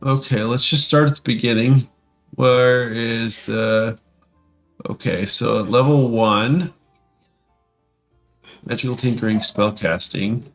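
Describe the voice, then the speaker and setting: Dull-sounding halting man, co-hosting a podcast. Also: mid-range voice, soft background music. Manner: halting, repeating phrases. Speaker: podcast co-host. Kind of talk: casual chat while reading through game rules.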